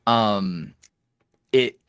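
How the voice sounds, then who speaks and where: A man as a podcast guest talking casually, animated and laughing often as he talks. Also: lowish voice, very slow, clear, resonant tone, dry recording, clean studio sound. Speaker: guest, ASMR roleplay creator.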